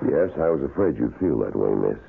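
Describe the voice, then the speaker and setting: Through a tinny old radio broadcast comes a somber wax museum employee. His extremely deep, gravelly voice recounts grim things in a deliberate, grave way, in the style of seventies radio drama. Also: very animated, heavily acted. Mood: somber, polite. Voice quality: gravelly, husky. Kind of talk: 1970s radio drama acting.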